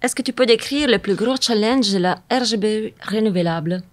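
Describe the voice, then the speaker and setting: A woman with a voice of middling pitch leads a corporate videocast interview. She moves briskly through her list of questions, friendly and to the point.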